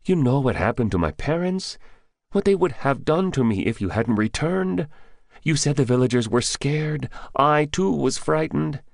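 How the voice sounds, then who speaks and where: A man's voice: medium pitch, lively intonation, normal speed, creaky, no room sound, clean studio sound, voice pushed up. A man voicing a frightened young male village storekeeper in an audiobook, anxious and pleading. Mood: bitter. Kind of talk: audiobook character voice.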